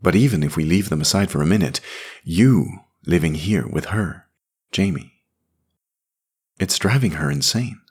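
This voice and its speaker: A calm, firm grown woman speaks in a patient, gently insistent way, read in a man's own voice for an audiobook. The voice is very deep and husky. It moves slowly and evenly, with a lively rise and fall. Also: exaggerated voice. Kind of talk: audiobook character voice.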